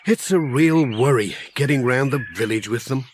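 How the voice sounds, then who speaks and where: A man's voice: medium pitch, normal speed, slight background noise, natural voice. A man voicing a friendly, overworked village postman in a children's audiobook, with a Northern English accent and a folksy, grumbling manner. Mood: friendly, flustered.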